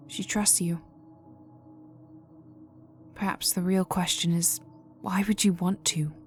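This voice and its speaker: A woman playing a cold, vengeful aristocratic vampire in a dark ASMR roleplay, speaking formally and menacingly and often sinking to a whisper. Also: mid-range voice. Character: cold vengeful aristocratic female vampire, young heiress in mourning.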